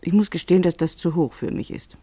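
A man's voice: on the high side, fairly even intonation, quick pace, mellow, breathy edge, dry recording. A man delivering literary narration in a fussy, bemused, pedantic manner, as if commenting on a tale.

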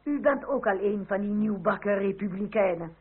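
A woman's voice: medium pitch, moderate pace, husky. An actress playing a haughty, middle-aged French royalist noblewoman in a Dutch radio drama, speaking in a formal, imperious, genteel manner. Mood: indignant. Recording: tinny, band-limited, hissy old radio recording.

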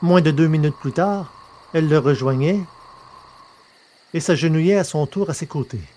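A man's voice: fairly high pitch, normal speed, warm tone. A man narrating an audio drama from a script in a careful, literary reading style. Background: music.

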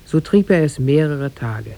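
A man narrating a tale as a formal, measured storyteller in 1950s German radio style. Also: fairly high pitch, animated, mellow.

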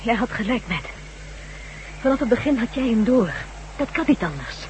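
A woman's voice: fairly high pitch, big pitch swings, moderate pace. An actress playing a loyal female ally, pleading and insistent, in a science fiction radio drama. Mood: shaken, concerned. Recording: noisy old radio drama recording.

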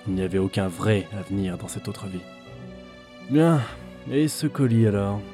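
A man's voice: on the low side, animated, normal speed, over music, natural voice. A man playing a disillusioned office worker who broods and mutters to himself in a French audio drama. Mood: weary regret, then puzzled. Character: weary, disillusioned male office worker, former gamer.

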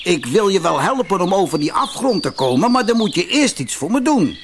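A man voicing a bossy, bargaining wolf in a storybook, speaking in a commanding, deliberate way. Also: sits high, sing-song, slight background noise, pitched above the performer's own voice. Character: bossy male wolf with fiery eyes, bargaining.

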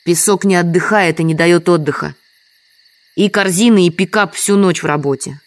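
A woman's voice: fairly low pitch, moderate pace, no echo, natural voice. An actress playing a dutiful, stubborn village woman in an audio drama, plain and insistent in manner. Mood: resigned, firm.

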